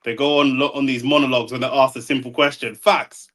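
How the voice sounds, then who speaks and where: Fast-talking young man, blunt. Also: mid-range voice, little pitch movement, fairly bright tone. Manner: blunt, opinionated, rapid street slang. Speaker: boxing podcast co-host. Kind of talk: casual chat.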